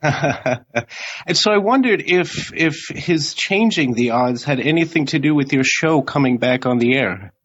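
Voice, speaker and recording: A man calling in to a radio show, chatting casually and politely with hesitant pauses. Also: mid-range voice, animated, a bit bright, husky. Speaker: call-in listener. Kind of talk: casual radio call-in chat. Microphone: internet call. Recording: slightly dull internet call audio.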